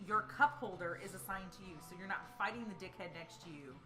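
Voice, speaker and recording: A woman's voice: lowish voice, fast talker, music in the background. A tipsy woman co-hosting a movie show in giggly, chatty, casual banter with some swearing. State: tipsy from beer.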